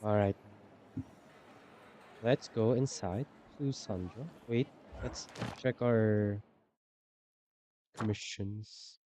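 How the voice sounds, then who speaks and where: A man's voice: on the low side, very slow, pauses often, mellow, a little hiss or hum. A man giving casual live gameplay commentary, musing aloud and repeating his phrases. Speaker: game streamer. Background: game music.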